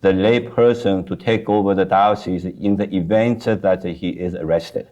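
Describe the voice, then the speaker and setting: Older man, Chinese accent, deep low voice, halting interview answers, dull lo-fi sound. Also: steady tone, dark tone. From China. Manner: halting, many uh fillers and restarts. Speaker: guest.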